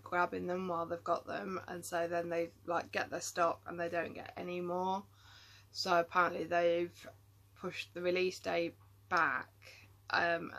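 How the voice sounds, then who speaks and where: A woman talking casually to camera, tired and rambling, with many ums and half-finished thoughts. Her voice is bright and forward but catches into a gravelly fry. She sounds worn out yet keeps chatting. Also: fairly low pitch. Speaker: vlogger.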